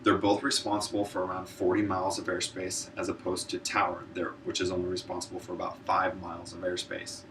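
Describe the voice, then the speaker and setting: Male speaker, deep voice, informative presentation, earnest, occasional stumbles, distant mic, light background noise. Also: completely flat delivery, brisk, hardly any pauses. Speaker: student public speaker, military air traffic controller. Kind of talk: informative speech, prepared presentation. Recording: distant mic, noisy room ambience.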